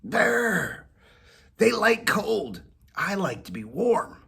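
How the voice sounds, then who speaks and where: A man voicing Whiskers, a small boastful male cat, in a high whiny voice for a storybook reading. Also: very animated, extremely slow, creaky, sharp tone, slightly rough, heavily acted.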